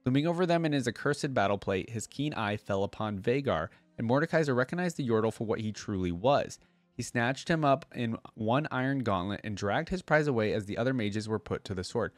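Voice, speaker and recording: A man's voice: mid-range voice, expressive, fairly quick, no echo, faint music behind. A young man hosting a casual reaction video, thinking aloud and reading lore at a close desk microphone. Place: indoor gaming room.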